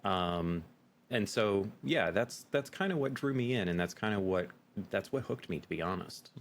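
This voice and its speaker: A man in a casual interview, talking as a guest in a halting, reflective way. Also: fairly low pitch, expressive. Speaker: podcast guest, actual-play game master. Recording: clear background noise.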